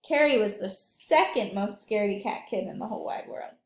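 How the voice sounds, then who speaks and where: A woman's voice: medium pitch, expressive, fairly quick, roomy sound. A woman reading a picture book aloud to young children, expressively, adding simple asides as she goes. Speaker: early childhood read-aloud storyteller.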